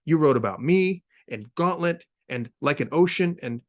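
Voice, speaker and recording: Man acting a loud, belligerent former friend. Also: a little high, animated, moderate pace, voice pushed up, lightly acted. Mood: furious. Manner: loud, accusatory, barking. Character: belligerent, aggrieved male living phrase, former friend.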